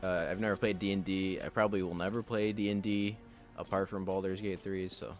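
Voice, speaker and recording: A man hosting a casual game play-through, talking in a loose, rambling way with a slightly raspy voice. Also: sits low, little pitch movement, brisk, faint music behind. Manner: loose and rambling, with many fillers. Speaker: host.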